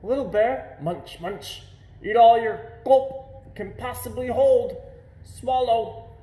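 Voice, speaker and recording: A man's voice: extremely high pitch, slow pace, warm tone, reverberant, pitched above the performer's own voice. A man voicing a motherly, gluttonous storybook bear who chews and gulps between words. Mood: hearty, munching. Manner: chewing and gulping between words.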